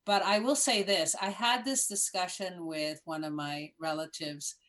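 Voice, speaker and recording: A woman guest in casual conversation, telling an emphatic, animated story. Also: on the low side, a little slow.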